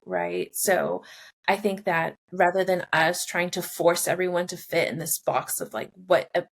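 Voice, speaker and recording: A woman's voice: on the low side, gravelly. A young woman from Mexico, a therapist guest, answering interview questions in an earnest, reflective way with many "like"s and "you know"s.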